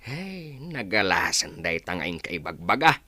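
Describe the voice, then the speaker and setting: A man's voice: sits low, sing-song, unhurried, sharp tone, dry recording, exaggerated voice. A man with an Ilocano accent playing an exasperated old village father who scolds and laments in a radio drama. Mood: exasperated, scolding.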